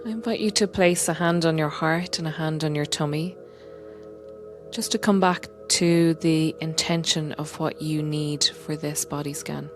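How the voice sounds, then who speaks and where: A woman guiding a meditation in a gentle, unhurried, soothing way, often softening toward a breathy whisper. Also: deep voice, fairly even intonation, over music. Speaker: meditation guide and coach, podcast host. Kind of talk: guided meditation, soothing slow instruction.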